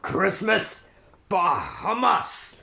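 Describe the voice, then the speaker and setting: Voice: mid-range voice, wildly swooping pitch, very slow, creaky, sharp tone, full character voice. A performer voicing a grumpy, miserly old cat businessman in a comic radio play, snappish and scornful. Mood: scornful, irritated.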